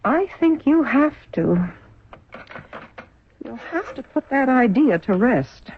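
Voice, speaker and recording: An actress playing a concerned, supportive hospital nurse in a 1970s radio drama. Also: female voice, sits high, sing-song, takes it slowly, a little hiss or hum, heavily acted. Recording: muffled, phone-like old radio recording.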